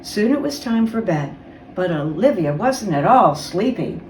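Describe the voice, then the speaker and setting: Woman reading a kids book out loud, really expressive, slow, roomy and a bit far from the mic. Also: mid-range voice. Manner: expressive, dramatic storytelling. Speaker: guest reader. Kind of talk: children's storybook read-aloud. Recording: roomy, distant mic, faint noise.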